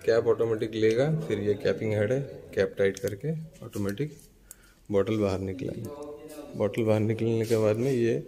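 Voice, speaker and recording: A man's voice: on the low side, moderate pace, mellow. A man from India walking through a large indoor factory with a handheld phone, explaining each machine of a bottling plant step by step. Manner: explanatory, points things out step by step to the viewer. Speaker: machine maker's representative. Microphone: handheld phone camera while walking.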